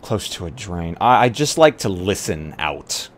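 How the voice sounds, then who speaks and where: A man's voice: low-pitched, very animated, measured pace. A man chatting casually as a player in a tabletop roleplay game, saying what his character does.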